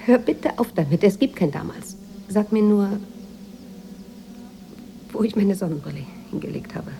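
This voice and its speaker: A woman's voice: mid-range voice, animated, normal speed, gravelly, warm tone, slight background noise. An actress in a radio drama playing a weary, imperious woman writer who speaks in a curt, brooding way. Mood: irritated, commanding. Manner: curt, haughty, introspective. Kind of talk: 1980s German radio drama acting.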